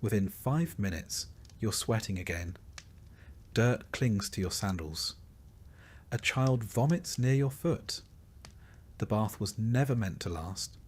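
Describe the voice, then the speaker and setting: A man reading a scripted history sleep story in a measured, descriptive storytelling manner. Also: lowish voice, lively intonation, a little hiss or hum. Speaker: narrator.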